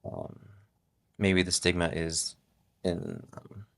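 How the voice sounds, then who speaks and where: A middle-aged man talking casually as a guest on his wife's podcast, relaxed and halting, with many restarts. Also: sits low, gravelly. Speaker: podcast guest, host's husband. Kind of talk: casual interview talk.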